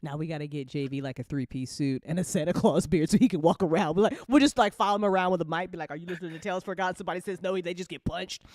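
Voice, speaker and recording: A woman's voice: lowish voice, racing, hardly any pauses, darkish tone, no echo, clean studio sound. A woman hosting a casual chat with playful banter, repeating phrases for emphasis. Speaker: show creator and after-show host.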